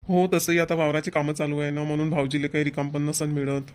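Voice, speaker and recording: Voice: medium pitch, little pitch movement, fast talker, darkish tone, lightly acted. An actor playing an insistent, homely village brother in a family cartoon, with a rural Varhadi Marathi accent. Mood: concerned. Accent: Maharashtrian, rural Varhadi Marathi. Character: bossy but caring village brother and husband.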